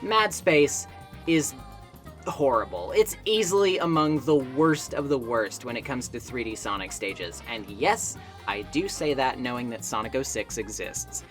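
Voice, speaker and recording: A woman's voice: rumbling low, animated, a little slow. A woman narrating a scripted video essay as a game reviewer, with a wry, opinionated, conversational manner. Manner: wry, opinionated, conversational asides with mild swearing. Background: background music.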